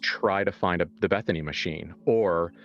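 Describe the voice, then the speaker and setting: A deep-voiced man runs a tabletop horror game as its game master. He improvises in a conversational way, stopping to repeat and rework phrases. The sound is a little dull, with music playing behind him. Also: normal speed, fairly bright tone. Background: background music.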